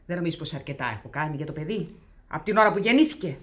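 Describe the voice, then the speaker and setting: A woman's voice: low voice, animated, a bit bright. A woman playing a domineering, self-pitying wife and pushy mother in a Greek radio theatre farce, insistent and melodramatic. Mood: aggrieved. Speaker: actress in a radio comedy.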